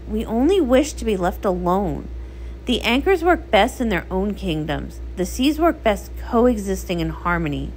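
A woman voicing an earnest, respectful but firm trench pony with a measured, solemn manner. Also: mid-range voice, a little hiss or hum, natural voice, low-quality recording.

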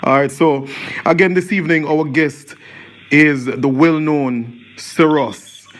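A man's voice: a little high, steady tone, takes it slowly, faint music behind. Hesitant male radio show host. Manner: hesitant, restarts, many "um"s. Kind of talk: radio interview hosting.

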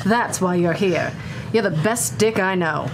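A woman playing a gruff, unbothered police chief. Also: mid-range voice, unhurried, heavy background noise, natural voice. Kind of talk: 1940s radio acting.

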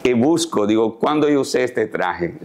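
A man in his sixties, a tailor, calmly explaining his daily routine in a sit-down interview, recorded on a lapel mic in a living room set. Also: medium pitch, normal speed. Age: 60s. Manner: calm, explanatory, gestures while talking. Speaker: guest, tailor. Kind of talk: casual interview talk.

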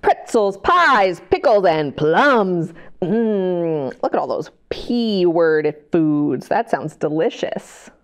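A woman's voice: on the low side, sing-song, slow pace. A middle-aged woman hosting a children's storytime, reading a picture book aloud expressively, with exclamations and questions to her young listeners. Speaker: children's librarian, storytime host. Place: indoor library children's room. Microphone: clip-on lapel microphone.

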